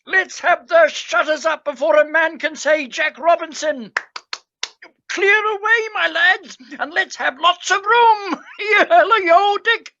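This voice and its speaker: A woman acting a jolly, hearty old gentleman employer in a radio play, hallooing and exclaiming exuberantly. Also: extremely high pitch, slow, muffled recording, full character voice. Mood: jovial, rallying.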